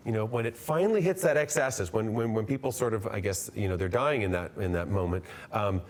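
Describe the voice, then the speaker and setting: A man's voice: fairly low pitch, a little fast. A man in his fifties on a video call, an upbeat guest explaining ideas and repeating words as he goes. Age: 50s. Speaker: guest physician. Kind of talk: explaining to an interviewer.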